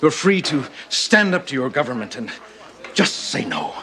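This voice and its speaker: An actor playing an earnest, formal alien immigrant police detective in a television drama, with precise diction. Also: male voice, mid-range voice, very animated, moderate pace, pushed and bright. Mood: proud.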